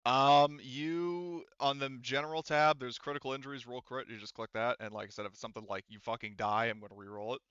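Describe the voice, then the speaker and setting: A man's voice: mid-range voice, steady tone, fairly quick, hardly any pauses, a bit bright, clean tone, no room sound, lo-fi sound. A man talking casually and with some swearing as game master, explaining rules to players at a tabletop game. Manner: casual, profane.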